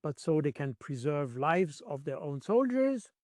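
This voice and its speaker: A man's voice: on the high side, sing-song, slow pace, darkish tone, no echo, clean studio sound. A man from Switzerland giving spontaneous political analysis as an interview guest. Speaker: interview guest, former military intelligence analyst.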